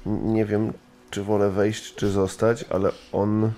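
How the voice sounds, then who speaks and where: A man's voice: fairly low pitch, little pitch movement, unhurried, warm tone, a little hiss or hum. A man playing a tabletop role-playing game, describing his character's actions in a hesitant, nervous way. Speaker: player.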